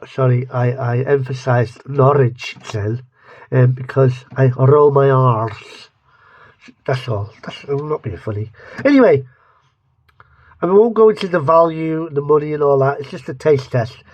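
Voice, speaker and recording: A man's voice: fairly high pitch, no room sound. A man with a lisp giving a rambling, joking taste-test review, often restarting his sentences. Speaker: vlogger reviewing mustards. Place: home studio.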